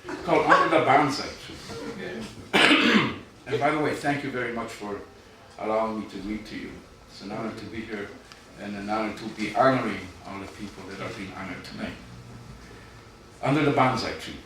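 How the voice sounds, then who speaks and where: A middle-aged man reading his poetry live, with informal asides and a wry, deadpan storytelling manner. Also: fairly low pitch, normal speed, long pauses. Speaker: poet. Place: live event in a reverberant room, audience present. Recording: very echoey hall, distant, noisy.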